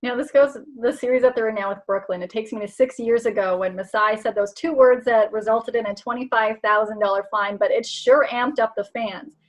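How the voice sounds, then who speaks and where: Higher-pitched woman interviewing about sports. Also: steady tone, brisk, hardly any pauses, poor audio. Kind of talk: conversational broadcast interviewing.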